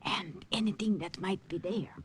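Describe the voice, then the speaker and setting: A woman's voice: mid-range voice, normal speed, lightly acted. A woman plays a watchful older French madame, protective and careful, with a French accent. She is in a vintage radio drama, with faint noise on the old recording. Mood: cautious, urgent. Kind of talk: 1950s radio drama acting.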